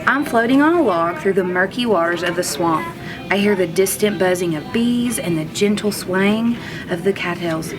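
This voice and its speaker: Woman, mid-pitched, teacher-style children's read-aloud, expressive and emphatic, with clear music underneath. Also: poor audio. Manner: expressive, with drawn-out words for emphasis. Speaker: kindergarten teacher reading aloud. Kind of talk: children's storybook read-aloud, first-person narration. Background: background music.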